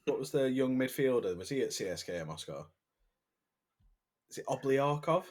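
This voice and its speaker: A man's voice: medium pitch, lively intonation, moderate pace, over a noisy crowd. A man co-hosting a casual podcast, joking, swearing often and laughing a lot. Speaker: podcast co-host. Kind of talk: casual banter.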